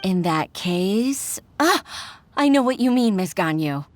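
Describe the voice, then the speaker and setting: An actress playing an earnest, troubled young office clerk in a video game, respectful and slightly weary. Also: female voice, medium pitch, lively intonation, slow. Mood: eager, questioning.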